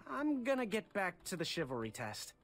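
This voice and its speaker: An actor playing a friendly, eager young would-be knight in a fantasy game, polite and a little awkward. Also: male voice, high voice, huge pitch swings, moderate pace, a bit bright, faint music behind, heavily acted. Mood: awkwardly polite. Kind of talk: animated video game acting.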